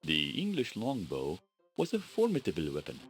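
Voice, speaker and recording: A man's voice: deep voice, huge pitch swings, normal speed, soft background music, exaggerated voice. An actor playing a stern, proud Egyptian male scholar who speaks in formal, solemn and menacing pronouncements, in a 1990s adventure game.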